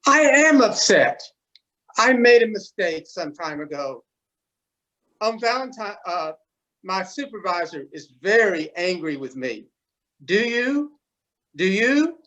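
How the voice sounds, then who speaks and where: A performer playing an anxious, apologetic postman in a readers theatre children's story, halting and fretful. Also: medium pitch, big pitch swings, a bit bright, low-quality recording. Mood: upset.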